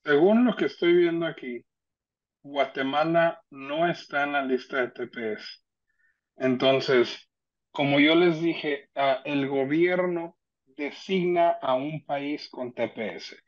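A man's voice: fairly high pitch, slow. Man explaining on a dull video call. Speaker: immigration legal representative giving an online presentation. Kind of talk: informal explanatory presentation. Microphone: video call computer microphone.

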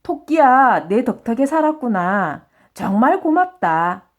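A woman acts out a kindly but flustered traveler man from a storybook. The voice is high and very lively, with earnest, exclamatory, old-fashioned phrasing. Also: slow, full character voice. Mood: grateful. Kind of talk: children's storybook character voice.

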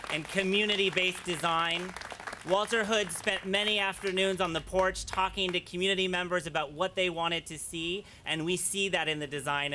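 A man in his thirties, an arts official, giving an earnest, slightly halting speech of thanks at an outdoor podium. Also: fairly high pitch, pushed and bright, a little hiss or hum. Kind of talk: ceremony speech with thank-yous. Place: outdoor plaza at a groundbreaking. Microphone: podium mics.

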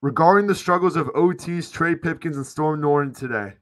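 A man's voice: fairly high pitch, a little fast. A man in his twenties chatting informally to viewers as a sports livestream host, his voice wearing out. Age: 20s. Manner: informal, addresses viewers as guys. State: losing his voice. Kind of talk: casual fan livestream chat. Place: small home room, indoors. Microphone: close desk microphone.

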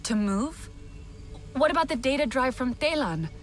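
An actress playing an earnest, determined young alien heroine in a video game, speaking persuasively. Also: female voice, high-pitched, very animated, moderate pace. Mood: concerned.

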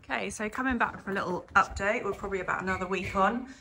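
Woman, chatty, um-filled, fry in voice, phone recording, background noise. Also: mid-range voice, fast, pushed and bright. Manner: chatty, frequent "um" and "so". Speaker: vlogger touring a house renovation. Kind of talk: casual walkthrough narration. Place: empty stripped-out house, indoors.

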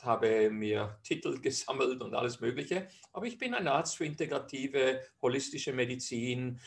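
A man's voice: mid-range voice, creaky. A man from Switzerland with a Swiss German accent, a physician presenting a webinar lecture over slides in a chatty way with frequent hesitations.

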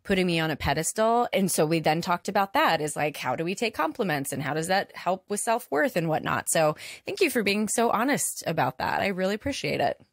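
Low-voiced woman host, dry sound. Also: sing-song, a little fast, few pauses, no echo. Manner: warm affirming backchannels. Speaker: podcast host and therapist. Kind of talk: conversational interviewing.

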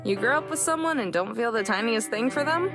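A woman's voice: on the high side, animated, brisk, loud music behind the voice, voice pushed up. A woman voicing a guarded, wry young heroine of a visual novel in a dry, hesitant manner. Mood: wry, probing. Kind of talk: visual novel character dub.

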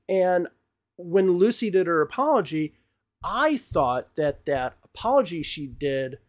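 Middle-aged male host, higher-pitched voice, emphatic ranting commentary, repeats words, close desk mic. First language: English. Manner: gestures broadly with hands while talking. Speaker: English teacher and channel host. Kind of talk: opinionated commentary to camera. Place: small home studio room with bookshelves, indoors. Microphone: podcast mic on desk in front of him.

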